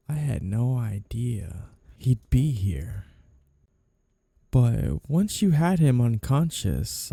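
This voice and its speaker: A man playing a flirty, two-faced, smug mafia boss in a scripted roleplay audio drama, teasing and drawing out his phrases theatrically in a gravelly voice. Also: medium pitch, dark tone, no echo, exaggerated voice. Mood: smooth, wary.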